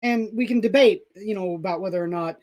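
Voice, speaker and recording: Co-host voice, middle pitch, calm explainer, casual live-stream chat. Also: a little fast. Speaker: co-host of a live comics show.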